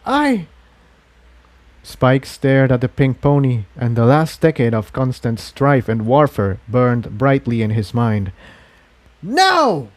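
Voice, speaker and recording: A man reading fiction as a dramatic, measured audiobook narrator. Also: medium pitch, fairly even intonation, darkish tone.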